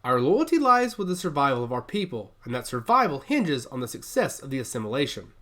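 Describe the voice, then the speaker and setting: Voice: mid-range voice, sing-song, brisk, a bit bright. A narrator voicing a revered, commanding old woman who leads a secret order, speaking steadily and with solemn deliberation. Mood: resolute. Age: older.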